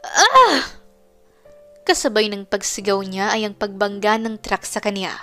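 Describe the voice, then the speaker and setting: A woman's voice: mid-range voice, little pitch movement, normal speed, a bit bright. A woman narrating a scripted romance story in a dramatic storytelling style. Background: background music.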